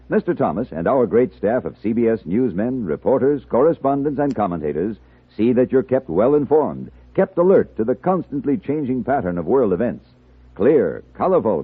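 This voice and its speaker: A man's voice: medium pitch, slightly rough. A man reading a scripted 1950s network radio promo in a formal, emphatic announcer's delivery. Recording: narrow-band vintage radio recording.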